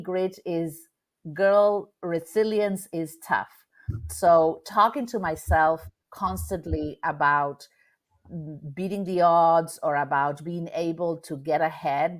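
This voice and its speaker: Woman guest, low voice, candid, restarts a lot, video call audio. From Argentina. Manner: candid, with many fillers and restarts. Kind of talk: casual storytelling interview.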